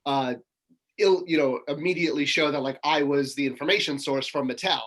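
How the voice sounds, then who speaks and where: A man's voice: fairly high pitch, a little fast, a bit bright. Chatty man on a video call. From Connecticut, USA. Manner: chatty, rambling, many fillers and restarts. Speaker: interview guest, toy marketer. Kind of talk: casual video call interview. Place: home room with toy shelves.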